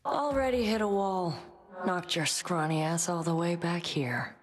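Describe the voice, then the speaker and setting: A woman's voice: on the low side, measured pace, lightly acted. An actress playing a snide, blunt, hostile female rival in a video game. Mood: scornful. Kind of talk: video game character acting.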